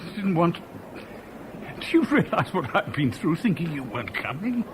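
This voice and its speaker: Earnest anxious lover, 1970s radio drama, lively swinging intonation, clear background noise of an old broadcast. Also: male voice, a little high, moderate pace. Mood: frantic, disbelieving. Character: lovesick, anxious man desperate to flee with his lover.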